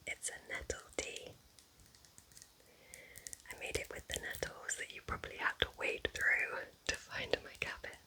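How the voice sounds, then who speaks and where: A woman playing a kind, cosy forest witch in a whispered ASMR roleplay, breathy, gentle and chatty. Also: normal speed, pauses often, poor audio. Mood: gentle, welcoming. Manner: gentle, soothing, chatty, affectionate.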